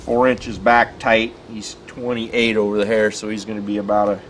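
Plainspoken man with a lowish voice, outdoors. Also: completely flat delivery, moderate pace, a bit bright, a little hiss or hum, low-quality recording. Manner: plainspoken, filler-heavy, thinking aloud. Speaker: taxidermist. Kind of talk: casual on-camera walkthrough. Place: outdoors on concrete by a building.

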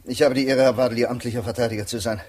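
An actor playing a polite, officious defence lawyer in a 1950s German radio play, formal, hesitant and placating. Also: male voice, medium pitch, steady tone, racing, darkish tone, natural voice. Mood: polite, reassuring.

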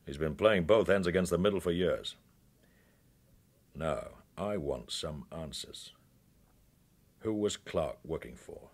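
An actor playing a menacing, sardonic British secret service interrogator in a radio crime drama, speaking in a measured, insinuating way. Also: male voice, rumbling low, lively intonation, heavily acted. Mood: pressing.

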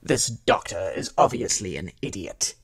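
A man's voice: on the low side, animated, a little slow, husky, dry recording, studio quality. A man playing a suspicious, accusatory guest in a theatrical sci-fi audio drama murder mystery. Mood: accusing, scornful.

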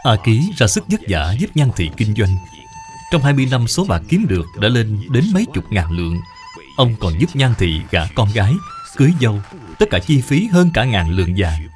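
A man's voice: fairly low pitch, very animated, normal speed, darkish tone, faint music behind. A man narrating a moral cartoon tale in a scripted storybook style.

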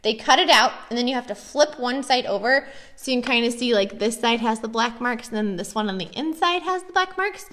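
A woman's voice: a little high, animated, fairly quick, fairly bright tone. An American woman, a preschool teacher, chatting enthusiastically and casually as she shows classroom activities.

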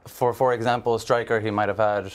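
A man's voice: fairly low pitch, steady tone, fast talker, clean tone. A young man from Israel, a football agent as a studio guest, explaining in an earnest way with many fillers on a TV panel. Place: large TV studio.

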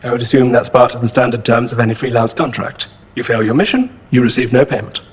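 A man playing a formal, matter-of-fact robot tactical coordinator in an audio drama, measured and detached. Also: medium pitch, slightly rough, close to an everyday voice.

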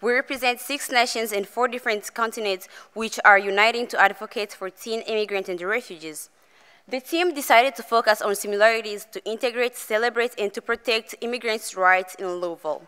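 A teenage girl from DR Congo, a student presenter, calmly reading a prepared talk on a theater stage. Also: on the high side, fairly quick, clean tone. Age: teens. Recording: reverberant auditorium.

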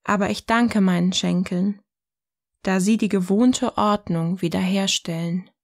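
A woman acting a self-assured, provocative partner in scripted audio-novel dialogue, speaking in a poised, deliberate way. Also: medium pitch, fairly even intonation, natural voice.

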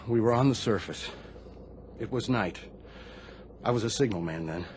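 An actor playing a guilt-ridden, anguished middle-aged Navy sailor in a radio drama, confessing haltingly to his superior. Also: fairly low pitch, darkish tone, a little hiss or hum, natural voice. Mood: haunted, subdued. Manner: halting, confessional, respectful of rank.

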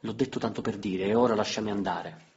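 A man voicing a cold, impatient young woman who curtly rejects her husband, raised above his own low, husky voice. Her lines are short and dismissive. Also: fairly quick, a little mellow, natural voice. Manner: curt and dismissive. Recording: slightly dull lo-fi recording.

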